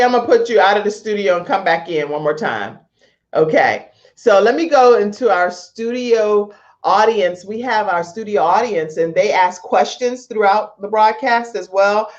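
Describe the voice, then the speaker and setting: A woman hosting a casual live online talk show in a chatty, expressive, informal way over lo-fi call audio. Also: medium pitch. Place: live video stream from a studio setup.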